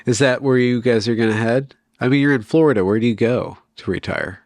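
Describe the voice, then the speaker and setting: A man's voice: mid-range voice, fairly quick, vocal fry, a little mellow, dry recording, studio quality. A man hosting an interview, talking in a laid-back, wry way over a video call with plenty of fillers. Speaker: interview host. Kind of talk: casual interview chat.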